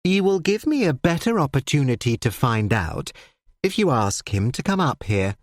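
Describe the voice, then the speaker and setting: A reader voicing a cool, sardonic elderly male judge who speaks in precise, measured phrases. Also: medium pitch, sing-song, dry recording, clean studio sound, lightly acted.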